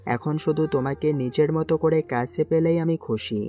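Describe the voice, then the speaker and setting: An earnest young prime minister in disguise, as a woman voices him for an amateur audio story. The voice sits extremely low with a dark, mellow tone, soft and tender in delivery. Faint music plays underneath. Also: moderate pace, natural voice. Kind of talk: amateur audio drama acting. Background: faint background music.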